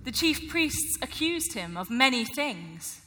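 A woman narrating a dramatic Bible reading in a measured, deliberate way. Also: sits high, big pitch swings. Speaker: scripture reader. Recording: loud music over voice. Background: loud music.

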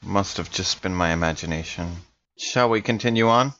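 A man's voice: low-pitched, moderate pace, sharp tone. A man playing a tabletop game, improvising casually in character, on a slightly dull recording.

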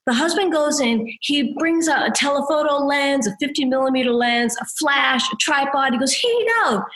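A woman in her fifties from New Hampshire, a photographer chatting as an interview guest, talkative and quick to laugh. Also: high voice, rough recording. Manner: chatty, laughs often, lively quoted voices. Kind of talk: casual interview chat.

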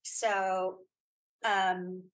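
A woman is a guest in a casual interview. Her voice sits at a middle pitch. She speaks off the cuff, doubling back on words and restarting sentences as she thinks. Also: little pitch movement. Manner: halting, repeats words and restarts often. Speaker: guest, filmmaker and writer.

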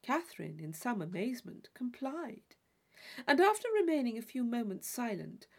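A woman narrating a classic novel, reading in a measured, formal storytelling manner. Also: fairly high pitch, very animated, vocal fry, a little mellow. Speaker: audiobook narrator. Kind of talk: scripted literary narration.

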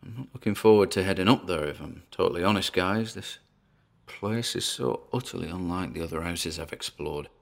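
An actor playing a nervous, driven paranormal investigator who records himself alone in an audio drama, talking haltingly to his listeners in an apprehensive, gravelly voice. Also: low-pitched.

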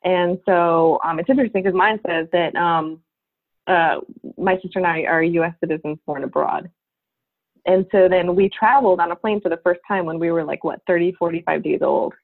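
A woman in her forties from New York, a podcast guest chatting casually with lots of fillers and pauses. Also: lowish voice. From Long Island, New York. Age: 40s. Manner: rambling, frequent fillers and laughs. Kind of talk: casual interview chat. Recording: phone-like narrow sound.

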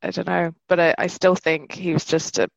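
A woman's voice: on the low side, flat delivery, fairly quick, creaky, no room sound, highs cut off. A woman co-hosting a podcast, chatting casually with hesitant fillers and self-mocking jokes. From Britain. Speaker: podcast co-host. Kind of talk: casual chat.

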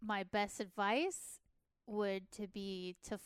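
Soft-spoken young woman, very clear voice, dry close sound, modest, hesitant, casual podcast guest. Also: medium pitch, slow pace, no echo. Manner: modest, hesitant, many fillers. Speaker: guest racing driver.

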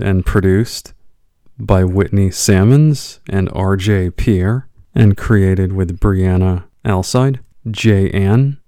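A man's voice: sits low, warm tone, dry recording, studio quality. A man reading a scripted announcement as a podcast announcer.

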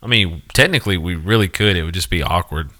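A man's voice: sits low, fast, pushed and bright. A man co-hosting a podcast, laid-back and teasing in casual banter. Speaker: podcast co-host.

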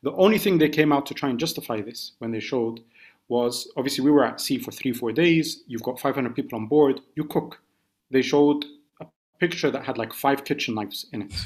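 A British man talks as a guest journalist on a podcast. He lays things out step by step in a measured way, with a mid-range voice. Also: mid-range voice. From United Kingdom. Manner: measured, detailed recounting. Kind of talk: podcast interview.

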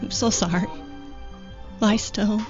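A woman playing a gentle, shaken young bystander in an audio drama, polite and tender. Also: mid-range voice, fairly even intonation, slow pace, natural voice. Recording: muffled audio under music.

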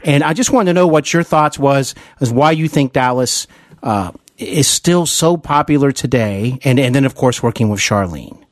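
A man's voice: medium pitch, lively intonation, normal speed, no room sound, clean studio sound. A man hosting a podcast interview, putting questions in a polite, agreeable and wordy conversational way.

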